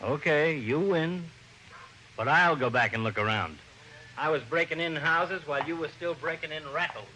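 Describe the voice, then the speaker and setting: An actor playing a gruff, good-natured, doubting veteran police partner with a grumbling, exclamatory manner in an old-time radio detective drama. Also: male voice, a little high, normal speed, sharp tone, a little hiss or hum, poor audio. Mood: reluctant, protesting.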